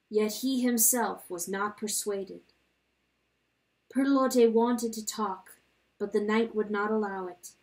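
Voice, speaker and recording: A woman reading a literary story dramatically, in a solemn, deliberate narrating style. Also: on the high side, a little mellow, far from the mic, rough recording. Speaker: audiobook reader.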